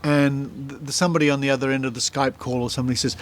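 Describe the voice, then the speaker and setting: A man's voice: medium pitch, flat delivery, rapid-fire, slight background noise. A man hosting a casual, conversational podcast interview. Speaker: podcast host interviewing a guest.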